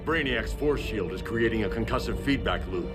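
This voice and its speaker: A man's voice: fairly low pitch, lively intonation, normal speed, over music, close to an everyday voice. A man playing a stern, tactical vigilante commander in a video game cinematic. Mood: commanding. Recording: game audio under loud score.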